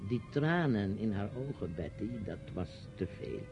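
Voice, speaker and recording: Probing uncle, animated, dull old recording. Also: male voice, on the low side, unhurried. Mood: quiet reproach. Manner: insistent questioning, patronising. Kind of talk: radio drama acting. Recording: dull old radio play recording, music.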